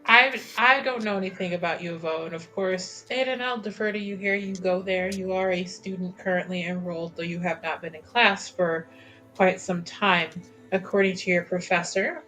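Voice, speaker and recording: A woman's voice: fairly low pitch, fairly even intonation, normal speed, sharp tone, faint music behind, poor audio. A woman playing a tabletop roleplaying game, chatting casually as she plans with the group.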